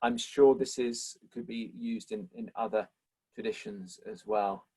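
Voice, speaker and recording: A man answers questions after his lecture on an online symposium. He talks in a thoughtful, appreciative way at a mid-range pitch, and he thanks the people who ask. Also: steady tone, a little slow, dark tone, husky. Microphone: video call.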